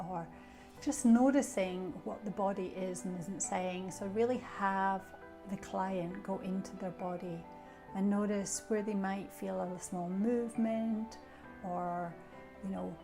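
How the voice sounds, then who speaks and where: A middle-aged Scottish woman, a coach, introducing herself and sharing coaching tips in a reflective way with fillers. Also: medium pitch. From Scotland. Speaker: coach, association treasurer. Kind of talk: self-introduction and coaching talk.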